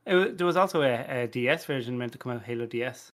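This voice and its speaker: A man's voice: medium pitch, lively intonation, fast talker. A man chatting casually as a podcast panelist, blunt and jokey, often repeating his phrases. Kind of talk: casual chat.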